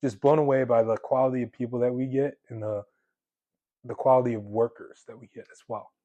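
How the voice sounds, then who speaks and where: A man's voice: medium pitch, steady tone, fairly quick, dark tone, dry recording, lo-fi sound. A young man in his twenties hosting a podcast, chatting casually with lots of fillers and easy laughs. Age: 20s. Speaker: podcast host. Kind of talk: casual conversation.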